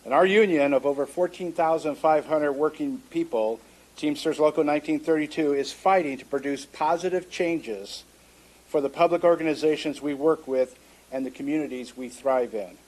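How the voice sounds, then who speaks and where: A man's voice: a little high, normal speed, a little mellow, poor audio. A man reading a prepared statement for his union during public comment at a city council meeting.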